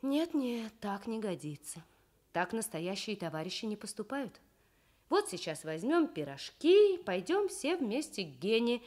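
A woman playing a patient, firm mother with measured, gently probing speech in a children's radio story. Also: on the high side.

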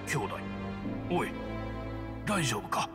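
An actor playing a loyal, weary human warrior in a game cutscene, talking in a familiar, rough and emotional manner. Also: male voice, medium pitch, very animated, takes it slowly. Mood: urgent. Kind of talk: dramatic game cutscene acting. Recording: music under the voice. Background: background music.

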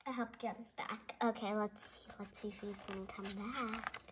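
A young girl hosting a read-along, reading a picture book aloud and reacting chattily in a giggly, excitable way. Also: mid-range voice, moderate pace, very noisy. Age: child. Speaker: host of a read-along video. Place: indoors at a dark wooden table. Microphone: camera pointed down at the book.

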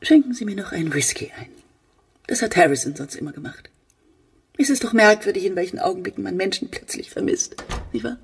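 An actress playing a genteel, brittle older widowed mother in a German radio play, with a husky voice. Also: mid-range voice, lively intonation, fairly quick, far from the mic. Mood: brittle, wistful.

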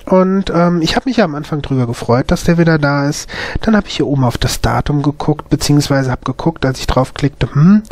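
A man with a slight cold, commentating casually on a game as host, often pausing to search for words. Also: a little high, a little mellow, no echo. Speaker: gaming video host.

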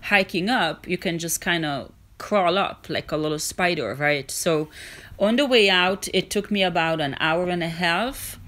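A woman's voice: fairly low pitch, unhurried, a bit bright. A woman narrating her hiking vlog in a casual, earnest, encouraging way, with small restarts. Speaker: hiking vlogger.

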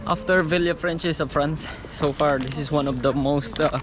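A man's voice: a little high, steady tone, normal speed. A young man from the Philippines vlogging casually as he hikes, chatty and out of breath, with the camera held at arm's length outdoors. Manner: chatty, exclaims at the view, out of breath while climbing. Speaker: travel vlogger. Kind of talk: casual travel vlog, mixing Filipino and English. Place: outdoors on a hillside in an old stone town. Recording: outdoor noise in the background.

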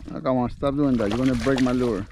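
A man filming himself fishing from a small boat in a mangrove bay, talking excitedly and laughing, chatting to the fish as he reels it in. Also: mid-range voice, fast, a little hiss or hum. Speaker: fishing vlogger. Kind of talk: casual play-by-play while fishing.

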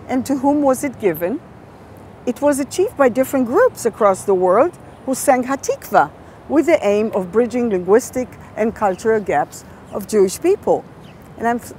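A woman in her sixties with an Israeli accent, talking to camera on location outdoors in a lively, enthusiastic way. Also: fairly high pitch, a little hiss or hum. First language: Hebrew. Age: 60s. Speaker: presenter. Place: outdoors in a large city square. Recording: clear background music.

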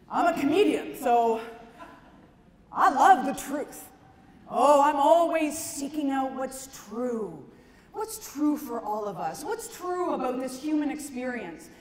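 A middle-aged woman giving a stand-up comedy talk to an audience, emphatic and conversational, with dramatic pauses. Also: high-pitched. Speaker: comedian, keynote speaker. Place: large conference ballroom stage. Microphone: handheld microphone. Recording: echoey hall PA, some noise.

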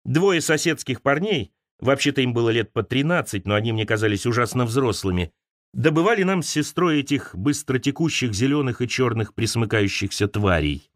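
A man narrating literary essays as an audiobook, expressively, with dramatic pauses and turns between wry and wistful. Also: medium pitch, expressive, no room sound, clean studio sound. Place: close, dry studio recording.

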